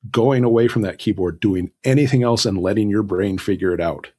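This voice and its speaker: A man, a guest author, giving reflective, halting advice in a conversational interview. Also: on the low side, dark tone. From Southern California, United States.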